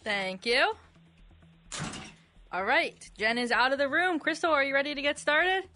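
A woman's voice: sits high, measured pace, pushed and bright, soft background music. A woman co-hosting a radio quiz, reading trivia questions in a conversational way.

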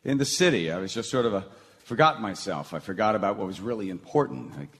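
A man's voice: lowish voice, lively intonation, quick pace, fairly bright tone. A man acting an awkward, wistful radio business host in live radio theatre, speaking hesitantly and stammering. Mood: reflective. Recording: hall reverb, stage recording with noise.

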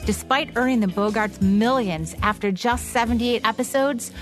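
A woman's voice: fairly high pitch, moderate pace, faint music behind. A woman co-hosting a radio program in scripted narration. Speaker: radio show co-host.

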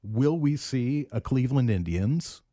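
A man's voice: mid-range voice, animated, measured pace, mellow, no room sound, studio quality. A man hosting a casual sports talk show, earnestly steering the discussion and giving his own views. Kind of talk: casual sports radio chat.

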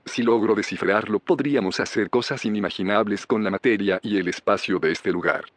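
A man's voice: on the low side, a little fast, no room sound, close to an everyday voice. A man playing an eager, easily amazed scientist in an audio drama, speaking earnestly and technically. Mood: fascinated. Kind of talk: Spanish audio fiction acting. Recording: clearly noisy background.

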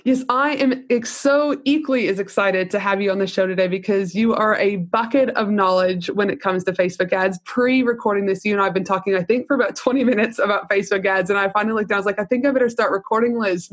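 A woman's voice: medium pitch, no room sound. A woman hosts a business podcast. She gushes with enthusiasm and sounds salesy. The recording is dry and close, with no room sound. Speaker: podcast host, business coach. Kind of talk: podcast intro and interview.

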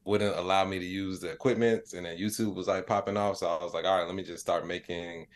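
Low-voiced American man, casual and laid-back. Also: steady tone, quick pace, slight background noise. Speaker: podcast guest, comedian and digital creator. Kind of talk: casual conversational interview answers.

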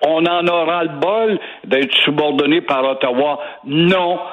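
A man's voice: fairly high pitch, measured pace, phone-quality sound. A man with a Québécois accent giving opinionated, sarcastic commentary as a regular radio guest. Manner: sarcastic, mocking repetition.

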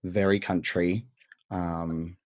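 A man with an Australian accent hosts a casual podcast, chatting in an upbeat way and leaning on fillers. His voice sits very low. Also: little pitch movement, slow pace, a little mellow. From central west New South Wales, Australia. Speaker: podcast host, drag performer.

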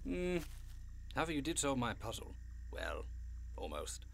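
A man's voice: mid-range voice, sing-song, unhurried, vocal fry, a little hiss or hum. Prim, swooping, theatrical goat-man monster. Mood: smug. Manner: prim, courtly, long-winded, old-fashioned wording. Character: genteel, fussy old Jersey Devil, a winged goat man. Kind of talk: audio drama acting.

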